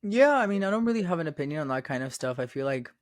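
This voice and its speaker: A man's voice: on the high side, sing-song, racing, no echo. Young man podcast guest, Miami-raised, rambles with fillers, dead-dry close mic. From Florida, raised in Miami. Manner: rambling, repeats phrases, many fillers. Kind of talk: casual conversation.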